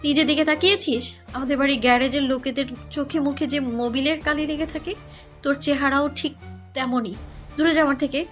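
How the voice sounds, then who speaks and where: A woman's voice: sits high, steady tone, brisk, soft background music, close to an everyday voice. A woman playing an arrogant, hot-tempered young rich bride, scolding with cruel scorn in an audio story drama. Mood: furious, contemptuous. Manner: haughty, insulting, scolding.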